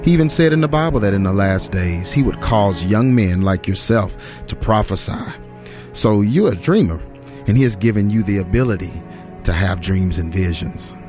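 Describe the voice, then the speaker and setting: An actor playing a pastor who speaks fervently about God's power. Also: male voice, fairly low pitch, lively intonation, normal speed, over music, lightly acted. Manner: fervent, preachy. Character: encouraging young pastor. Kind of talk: audio drama acting.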